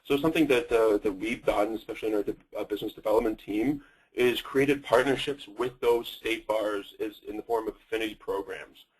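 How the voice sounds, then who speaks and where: Husky Canadian man giving a webinar. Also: on the low side, brisk, poor audio. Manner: hesitant, many fillers and restarts. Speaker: company representative presenting a webinar. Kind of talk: webinar product presentation.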